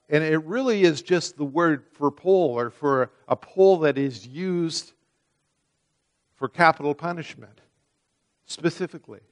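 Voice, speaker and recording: A man preaching a sermon, reading scripture and then explaining it in an earnest manner, often repeating words as he restarts a phrase. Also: medium pitch.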